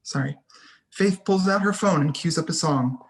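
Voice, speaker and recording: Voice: medium pitch, normal speed. A person reading scene headings and stage directions as scripted narration for a screenplay table read.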